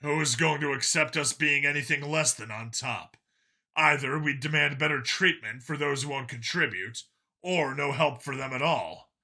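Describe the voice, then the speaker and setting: A man's voice: on the high side, normal speed, pushed and bright, exaggerated voice. A man voicing an earnest, argumentative, world-weary male cop in an audiobook, arguing in long, insistent speeches. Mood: heated, bitter.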